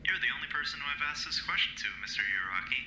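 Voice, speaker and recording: A man's voice: on the high side, expressive, rapid-fire, crowd noise, close to an everyday voice. A man playing a polite, businesslike interrogator in an audio drama, speaking in a formal, clipped, courteous way. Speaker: voice actor in a fan audio drama. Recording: roomy echo, faint background noise.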